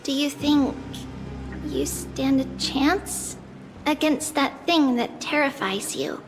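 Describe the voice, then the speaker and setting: A woman playing a gentle, caring girl-like guide in a video game, speaking softly and reassuringly. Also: high voice, slow pace, background noise. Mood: careful, subdued. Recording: long reverb, music behind.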